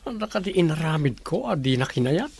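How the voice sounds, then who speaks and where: A man's voice: fairly high pitch, very animated, fairly quick, gravelly, a little mellow, a little hiss or hum. A man playing a resentful young grandson in a radio drama, sneering and sulky. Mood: sulking.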